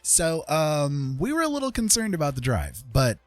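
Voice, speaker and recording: An American man casually telling a rambling personal story as a livestream host, animated and repeating phrases for emphasis. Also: on the high side, moderate pace, no echo, faint music behind.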